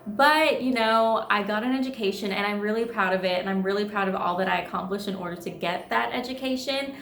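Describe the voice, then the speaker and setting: A woman's voice: mid-range voice, fairly quick, a bit bright. Bubbly thirty-year-old woman vlogger. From United States. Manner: bubbly, chatty and upbeat. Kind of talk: casual vlog chat. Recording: echoey room with faint music.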